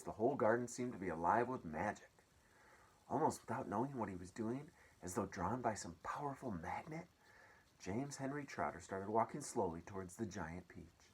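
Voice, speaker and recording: Deep husky man reading a story aloud. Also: low-quality recording. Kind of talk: expressive children's story reading.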